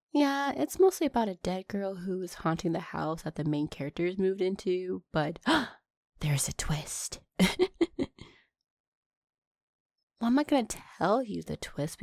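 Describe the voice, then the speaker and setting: A woman playing an affectionate, anxious vampire girlfriend in an intimate roleplay audio drama, talking in a soft, chatty way. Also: medium pitch, gravelly, dry recording, studio quality. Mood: playful, cozy. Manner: soft, chatty, sighing and giggling, breaking into whispers.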